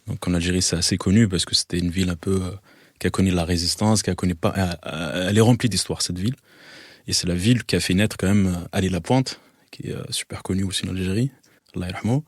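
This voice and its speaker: A man in his thirties from Algeria talks as a guest in a relaxed interview, often stopping and restarting his sentences. His voice sits low. The sound is dry, with almost no room around it. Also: fast talker, dry recording. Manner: hesitant, many fillers and restarts. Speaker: guest, photographer. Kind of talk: casual interview talk.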